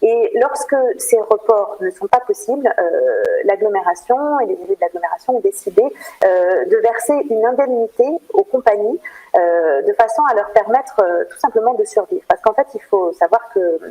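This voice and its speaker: Mellow-voiced woman, radio interview guest. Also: medium pitch, moderate pace, rough recording. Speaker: theatre programme manager, interview guest. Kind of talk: informative radio interview answers.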